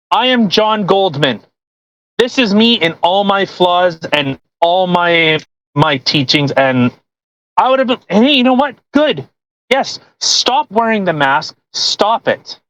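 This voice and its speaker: A woman hosts a casual podcast with a mid-pitched voice. She rambles and circles back over her points, sounding incredulous and mocking. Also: expressive, takes it slowly, a bit bright, rough recording. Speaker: podcast host. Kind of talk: casual chat.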